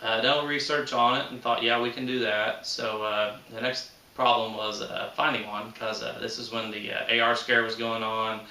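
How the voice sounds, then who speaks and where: A man's voice: medium pitch, level tone, moderate pace, pushed and bright. A man in his thirties chatting casually and unscripted to viewers as a channel host, with a folksy manner and rural, colloquial grammar. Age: 30s. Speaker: host of a shooting hobby channel. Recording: roomy echo, distant microphone.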